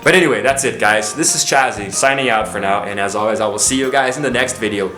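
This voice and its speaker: A man's voice: on the low side, fast talker, sharp tone, echoey room, soft background music. A man vlogging to camera about his views, chatty and tired, often saying "you know", from Brazil. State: tired and low on energy. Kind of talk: casual opinion vlog to camera. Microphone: camera mic, filming self.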